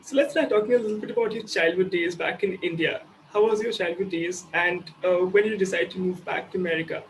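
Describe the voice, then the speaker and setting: A male interview host gives a formal welcome to his guest. His voice is high for a man, and he moves through his lines at a rapid clip. A faint hiss sits behind him. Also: steady tone, low-quality recording. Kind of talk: scripted show introduction and questions.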